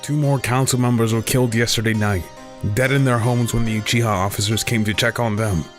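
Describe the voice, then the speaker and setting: A man acting a measured young ninja mentor. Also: medium pitch, fairly even intonation, faint music behind, natural voice. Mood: somber. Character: mild, responsible young ninja mentor and husband. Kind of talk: anime fan radio drama acting.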